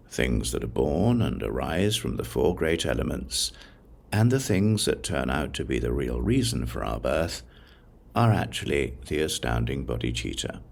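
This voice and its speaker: A man reading a religious text aloud as a scripted audiobook, in a measured, solemn way with deliberate pauses. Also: a bass voice, creaky. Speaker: audiobook narrator.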